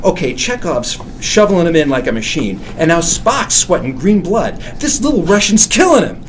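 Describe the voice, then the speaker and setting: An excitable young stoner guy spins a story in slangy, swooping bursts. The delivery is very lively, with light ambient noise behind it. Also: on the high side, normal speed. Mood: excited. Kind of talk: actor at a script table read.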